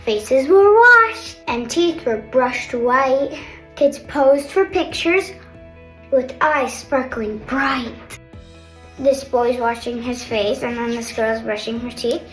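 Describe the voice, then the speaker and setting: A young girl reading a picture book aloud with chatty asides, in an expressive sing-song manner. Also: sits high. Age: child, older sister of a kindergartner. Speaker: storybook reader. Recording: roomy echo, faint background music.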